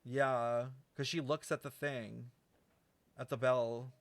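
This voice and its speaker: A man's voice: mid-range voice, flat delivery, slow pace, dry recording. A man giving casual game stream commentary, rambling as he thinks aloud and swears freely.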